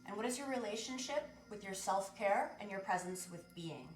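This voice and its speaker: A woman hosting a guided meditation, speaking to listeners in a measured, instructive way as she gives spiritual guidance. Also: mid-range voice, expressive. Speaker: guided meditation and sound bath host. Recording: roomy echo with music. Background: music.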